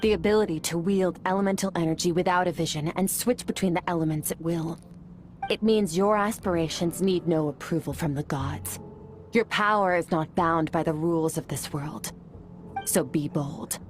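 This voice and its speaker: A woman voicing a composed mentor who speaks in a measured, steady manner. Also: fairly low pitch, lightly acted. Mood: encouraging. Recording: game audio with faint music. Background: faint game music.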